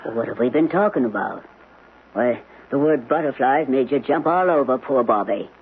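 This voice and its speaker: A woman playing a stern, suspicious woman in a radio drama, husky-voiced and relentlessly pressing for answers. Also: rumbling low, expressive, normal speed, slight background noise. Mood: probing, accusing. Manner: insistent, interrogating. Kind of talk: 1970s radio drama acting. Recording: tinny old radio broadcast.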